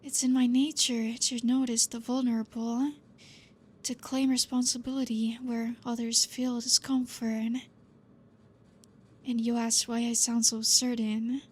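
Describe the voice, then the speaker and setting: Woman playing a breathy possessive vampire teacher. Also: on the high side, measured pace. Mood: teasing. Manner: soft-spoken, calm, quietly commanding. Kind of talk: ASMR roleplay.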